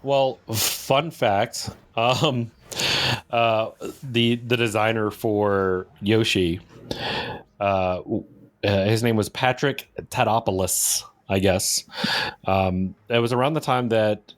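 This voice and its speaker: Dude on a gaming podcast, lowish voice, casual chatty talk. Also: male voice, fairly even intonation, takes it slowly. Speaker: podcast co-host.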